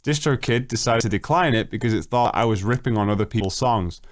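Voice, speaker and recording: A young man chatting casually on a late-night stream, answering viewer questions, swearing freely and jumping up in pitch when excited. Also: fairly quick, no room sound, studio quality. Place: home at night.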